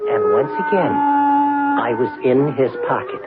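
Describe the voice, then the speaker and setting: An actor playing a boastful, naive talking dime, narrating a 1970s radio drama in a theatrical, confiding way. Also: male voice, mid-range voice, wildly swooping pitch, slow pace. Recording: phone-like lo-fi 1970s radio broadcast recording. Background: music.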